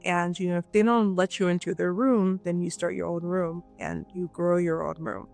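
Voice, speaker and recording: A woman's voice: on the low side, moderate pace, vocal fry, darkish tone, faint music behind. A woman from Nigeria, a guest entrepreneur, talking animatedly and emphatically in a casual interview, often checking in with "right?".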